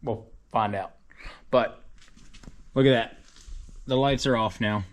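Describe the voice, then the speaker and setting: Guy talking loose and offhand, kinda thinking out loud. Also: mid-range voice, steady tone, takes it slowly. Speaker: drag racer and vlogger. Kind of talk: casual vlog update.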